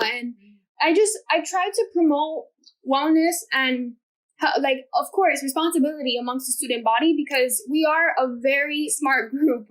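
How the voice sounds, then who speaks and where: A teenage girl from Miami speaks in a bright, high register, earnest and eager as she explains things in long, quick runs of thought. She sounds like a student guest on a casual interview podcast. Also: poor audio. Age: teens.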